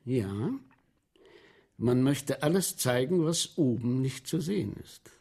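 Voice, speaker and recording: A man's voice: mid-range voice, slow, creaky, slightly rough, exaggerated voice. An actor in a German radio play playing an eager, ingratiating showman who guides noble spectators through macabre entertainments with theatrical flourish. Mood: wistful. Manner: ingratiating, animated, quotes aristocrats grandly.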